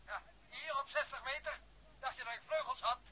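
An actor playing a testy, self-righteous astronaut in a 1960s Dutch radio drama. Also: male voice, very high-pitched, fairly quick, bright, forceful tone, breathy edge, full character voice. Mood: irritated.

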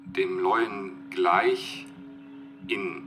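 Low-voiced, slow, halting man. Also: steady tone. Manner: halting, searching, repeats words. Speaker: literary expert being interviewed. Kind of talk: unscripted reflective explanation. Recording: music behind the voice.

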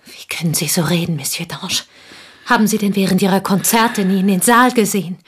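A woman's voice: medium pitch, fairly quick, slightly rough. A woman playing an earnest, loyal confidante in a radio play, formal and respectful yet firm and reproving. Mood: earnest, admiring.

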